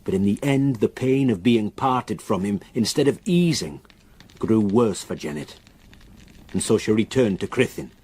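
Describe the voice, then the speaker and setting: An actor playing a grave, reluctant local countryman in a radio drama, with a solemn, halting way of telling a dark story. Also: fairly low pitch, expressive, slightly rough. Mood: reluctant, sombre.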